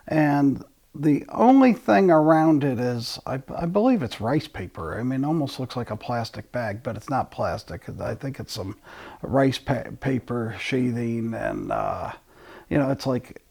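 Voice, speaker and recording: A man's voice: mid-range voice, gravelly, darkish tone. A man hosting an audio channel, talking casually to his listeners in a rambling way, often restarting sentences mid-thought.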